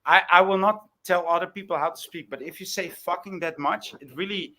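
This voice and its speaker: A man's voice: sits high, a little fast, bright, forceful tone. A man who is not a native English speaker, arguing in a heated live stream, stammering and sarcastic. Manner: stammering, sarcastic, repeats words. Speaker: guest in a live stream debate.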